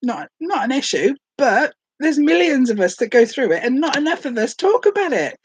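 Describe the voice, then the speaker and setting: A woman's voice: high-pitched, sing-song, moderate pace, a little mellow, slightly rough, dry recording. A woman in her late forties from Hereford, chatting casually and rambling a little in a first-ever vlog while full of a cold with a sore throat. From England, Hereford. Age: late 40s. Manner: rambling, self-deprecating, chatty. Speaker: vlogger.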